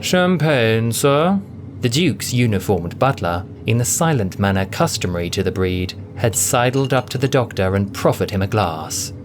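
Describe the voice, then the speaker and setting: A man narrating a scripted audio drama as a theatrical storyteller, with deliberate emphasis. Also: on the low side, animated, slight background noise. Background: music.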